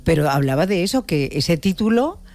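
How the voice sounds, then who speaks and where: Un hombre que presenta un programa de radio, charlando de forma distendida y metiendo comentarios rápidos. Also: male voice, fairly high pitch, big pitch swings, a little mellow. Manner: chatty, with quick interjections. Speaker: radio show host. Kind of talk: casual radio chat.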